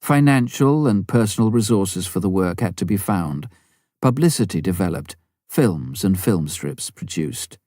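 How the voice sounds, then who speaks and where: A man reading an audiobook as scripted narration, with measured, clearly phrased delivery. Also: low-pitched, expressive, warm tone, no echo, clean studio sound. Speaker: audiobook reader.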